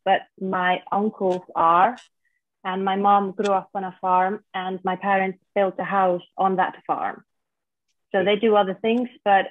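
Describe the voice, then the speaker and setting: A woman's voice: mid-range voice, no room sound. A woman in her thirties from Iceland with an Icelandic accent, chatting casually as a podcast guest about her life. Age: 30s. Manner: relaxed, plainspoken storytelling. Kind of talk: casual interview chat. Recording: dry, tinny phone-like line.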